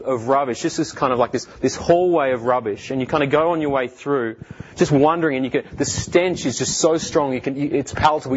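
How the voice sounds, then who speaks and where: An Australian man in his thirties, a guest speaker telling his life story in earnest, halting speech full of fillers and restarts. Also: mid-range voice, muffled recording, a little hiss or hum. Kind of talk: personal testimony talk.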